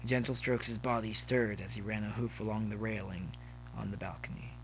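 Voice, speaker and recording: A man's voice: fairly low pitch, flat delivery, brisk, a bit bright, a little hiss or hum, poor audio. A man reading scripted story narration as an audiobook narrator.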